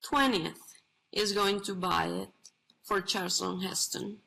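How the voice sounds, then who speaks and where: A woman playing God as a blasé, boastful bathhouse attendant in a play reading, speaking in halting, self-satisfied phrases. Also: medium pitch, fairly even intonation, vocal fry, sharp tone, heavily acted, rough recording. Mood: nonchalant.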